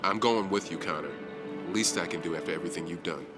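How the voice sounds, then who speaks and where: Actor as deep, gruff loyal soldier. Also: male voice, fast talker, over loud music, close to an everyday voice. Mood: resolute. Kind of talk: video game voice acting.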